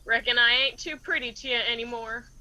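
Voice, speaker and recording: A woman's voice: on the high side, steady tone, brisk, bright, forceful tone, poor audio. An actress playing a hurt, pleading but defiant young mountain woman with an Appalachian accent in a radio drama. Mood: hurt, reproachful. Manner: emotional, plain rustic speech.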